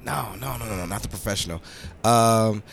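A man's voice: lowish voice, brisk, gravelly, fairly bright tone, a little hiss or hum. A man co-hosting a casual podcast, posing questions and rambling as he searches for words, with some swearing. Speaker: podcast co-host.

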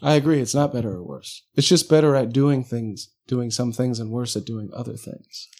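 A man's voice: medium pitch, moderate pace, a little mellow. A man talking casually in a conversation, laid-back and joking, working through his ideas aloud with hesitations.

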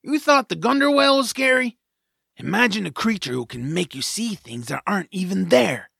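Gruff, folksy male sidekick played by a man, raspy and creaky, a wary storyteller for a fantasy audio drama. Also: sits high, animated, moderate pace, bright, forceful tone, no echo, clean studio sound, heavily acted. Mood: ominous. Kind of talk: family audio drama acting.

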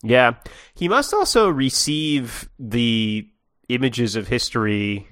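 Animated guy cohost, super swoopy voice, jokey, close dry sound. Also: mid-range voice, slow, no echo. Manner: jokey, speculating aloud. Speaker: podcast co-host. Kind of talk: casual joking chat, reacting to a story.

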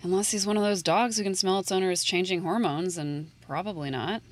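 A woman's voice: lowish voice, fairly quick, close to an everyday voice. A woman playing a jaded, blunt schoolteacher with a dry, sardonic manner, in a naturalistic audio drama. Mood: sardonic warning. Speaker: actor in an audio play.

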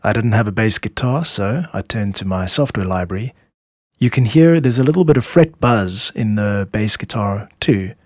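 A man hosting an explanatory music podcast, speaking in measured, instructive phrases with deliberate pauses into a close microphone. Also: fairly low pitch, dry recording. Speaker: podcast host and composer explaining own music.

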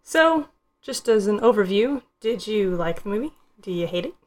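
A woman's voice: medium pitch, animated, moderate pace. A woman hosting a casual group movie review, prompting the others with short questions. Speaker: host of a movie review show.

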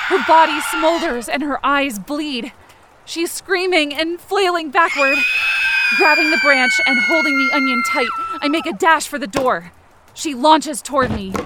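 Scared young woman telling her own horror story, high tense voice, urgent, noise behind her. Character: frightened, determined young woman narrating her own ordeal. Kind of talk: first-person audio fiction narration, present tense.

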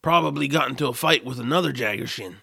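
Grumpy, gravelly troll. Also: male voice, medium pitch, fast, sharp tone, no echo, studio quality, heavily acted. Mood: dramatic, emphatic. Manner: exasperated, grumbling. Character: grumpy, reclusive male troll, food-obsessed reluctant hero. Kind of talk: family audio drama acting.

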